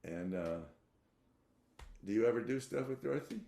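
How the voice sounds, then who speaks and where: A man guiding a client through a therapy session over a video call, with gentle, unhurried prompts and many affirming murmurs. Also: mid-range voice, lively intonation, vocal fry, echoey room.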